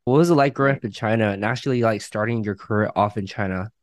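A man's voice: lowish voice, fast talker, no echo. A man hosting a podcast, asking friendly, curious questions. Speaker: podcast host. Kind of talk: podcast interview.

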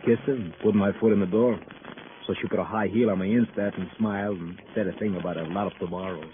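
A man's voice: on the low side, fairly even intonation, fast, natural voice. An actor playing a cocky, sleepy young boxer and boastful ladies' man in a slangy 1950s radio crime drama. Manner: swaggering, slangy. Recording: muffled noisy old radio recording.

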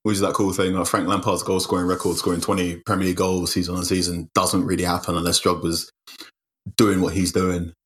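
Lower-voiced Mancunian football journalist. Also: male voice, level tone, a little fast, fairly bright tone, a little hiss or hum. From Manchester area, England. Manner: chatty, self-deprecating. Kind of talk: casual football chat.

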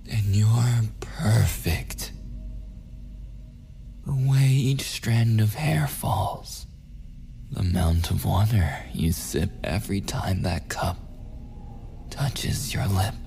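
Over audible background noise, a man plays a possessive yandere boyfriend for an ASMR roleplay. His voice is low and unhurried, intimate and menacing, drifting into breathy whispers as he dotes obsessively. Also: warm tone. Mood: adoring, obsessive.

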